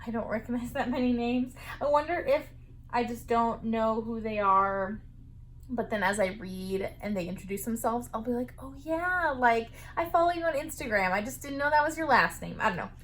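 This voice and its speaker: A woman's voice: a little high, moderate pace, fairly bright tone, slight background noise. A woman in her twenties, chatting casually about a knitting book while sitting up in bed, with a lot of "like" fillers. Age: 20s. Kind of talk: casual reading vlog.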